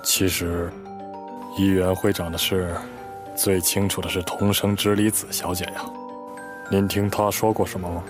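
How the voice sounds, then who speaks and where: An actor playing a reserved, discreet lawyer in an audio drama, politely and guardedly feeling someone out. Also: male voice, sits low, level tone, moderate pace, music in the background, lightly acted. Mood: discreet, probing.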